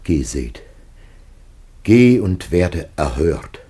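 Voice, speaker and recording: An ancient patriarch facing death in a classic radio play. His voice is extremely deep and rough-edged, and he declaims slowly, with grave anguish and tenderness. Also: sing-song, mellow, full character voice. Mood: anguished, exalted. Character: Adam, ancient dying patriarch, solemn, anguished, tender father.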